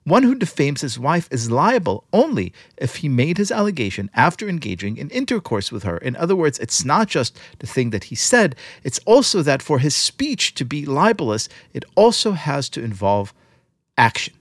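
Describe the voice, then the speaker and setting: A male podcast host with an animated, swooping delivery. He narrates and interviews emphatically, with theatrical phrasing, in a dry, close recording. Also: a little high, normal speed, slightly rough, no echo.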